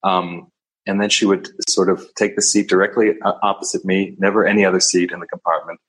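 A man's voice: sits low, little pitch movement, brisk, a bit bright, breathy edge, poor audio. A male journalist telling an anecdote in a wry, vivid way. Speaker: journalist, interview guest. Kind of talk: anecdotal storytelling.

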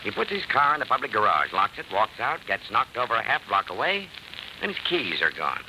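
An actor playing a shrewd, dogged police detective with wry, pointed questioning in an old-time radio crime drama. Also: male voice, fairly low pitch, wildly swooping pitch, fairly quick. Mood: pressing, sardonic. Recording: noisy old radio recording.

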